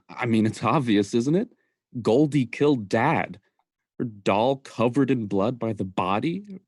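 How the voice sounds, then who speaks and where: A man's voice: mid-range voice, dry recording, studio quality, lightly acted. A young man playing an indignant, self-righteous son in an emphatic, melodramatic radio play monologue. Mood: agitated. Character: indignant, self-righteous grieving son, bent on exposing a killer. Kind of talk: student radio play acting.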